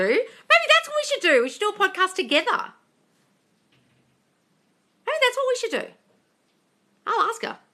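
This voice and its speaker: Bright, blunt woman answering chat. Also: mid-range voice. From England. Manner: brash and blunt, swears freely, calls viewers darling; reads chat comments aloud, then answers them. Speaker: manifesting coach answering live chat questions. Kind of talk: casual livestream Q&A. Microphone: phone livestream.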